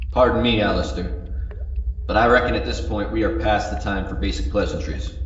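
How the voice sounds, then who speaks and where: Man playing a low-voiced, guarded miners' spokesman. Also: fairly even intonation, brisk, close to an everyday voice. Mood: defiant. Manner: curt, guarded, respectful. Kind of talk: audio drama acting. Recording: muffled echoing tape, faint noise.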